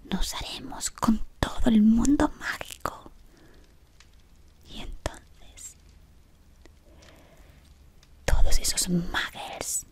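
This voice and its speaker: A woman in her thirties playing a scheming, devoted female servant of a dark wizard, whispering close to the mic in a dim room. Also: fairly high pitch, animated, drawn-out words, pauses often, breathy edge, exaggerated voice. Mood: sinister devotion. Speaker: ASMR roleplayer. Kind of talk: whispered ASMR roleplay.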